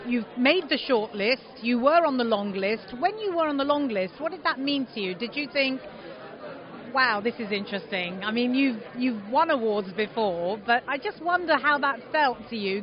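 A woman puts brisk, friendly questions to guests at a crowded awards dinner. Her voice is slightly high, the audio is dull, and loud chatter fills the background. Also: lively intonation. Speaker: interviewer. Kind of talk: quick interviews at an awards dinner.

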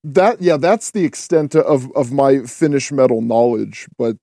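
A man's voice: mid-range voice, lively intonation, a little slow, dark tone, no room sound, studio quality. A man hosting a podcast, talking casually and giving blunt opinions at length. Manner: opinionated, talkative. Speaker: podcast host.

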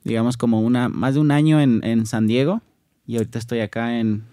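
A man with a northern Mexican accent, a music producer guesting on a podcast, talking in a relaxed, modest way with many fillers. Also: mid-range voice, fairly quick, dry recording, clean studio sound. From Tijuana, Mexico. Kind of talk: casual interview.

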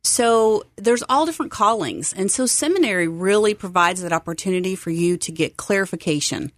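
A woman's voice: mid-range voice, lively intonation, brisk, a bit bright, dry recording. A middle-aged woman from Texas, speaking as an upbeat, earnest guest in a conversational radio interview. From Texas, United States. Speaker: interview guest, seminary site coordinator.

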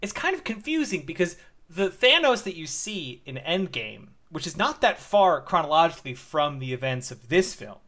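A man's voice: a little high, very animated, normal speed, a bit bright. A man co-hosting a podcast, chatting casually, hesitating often with restarts and fillers. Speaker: podcast co-host. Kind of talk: casual chat.

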